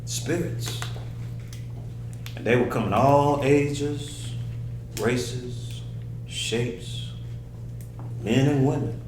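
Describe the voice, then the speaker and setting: A man's voice: medium pitch, extremely slow, pauses often. A man at a script table read playing an insistent, eccentric uncle who hears spirits, with a rambling, folksy manner. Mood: earnest, mystical. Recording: roomy, distant, noisy with hum.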